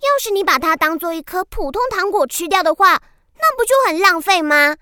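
Bossy candy fairy, very high and wildly animated, huffy scolding delivery for children's radio drama. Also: female voice, a little fast, no room sound, studio quality, heavily acted. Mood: proud, stern. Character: bossy, grumpy little candy-tree fairy.

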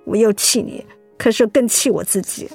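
A feisty young Taiwanese woman in a radio drama, with a high voice over music. She scolds and nags in a blunt, exasperated way, though she is soft underneath. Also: normal speed, vocal fry, husky, no echo. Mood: upset, softening. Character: feisty, scolding, softhearted young woman, hurt best friend. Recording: music behind the voice.

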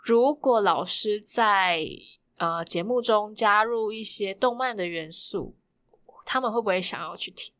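A woman's voice: medium pitch, unhurried, a bit bright. A woman with a Taiwanese Mandarin accent hosting a casual podcast interview, echoing her guest's phrases and asking questions. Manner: echoes and finishes the guest's phrases.

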